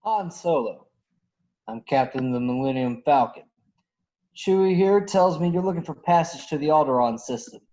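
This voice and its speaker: A man's voice: fairly high pitch, expressive, moderate pace, warm tone, lightly acted. A man playing a cocky, tough, roguish star pilot of about thirty in an online script reading. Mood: boastful. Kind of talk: script table read. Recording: dull, lo-fi online call.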